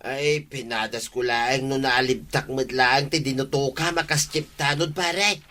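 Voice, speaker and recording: An actor playing a sheepish petty thief in an Ilocano radio comedy drama, speaking with an Ilocano accent in a hesitant, pleading, excuse-making way. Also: male voice, medium pitch, fairly even intonation, unhurried, sharp tone.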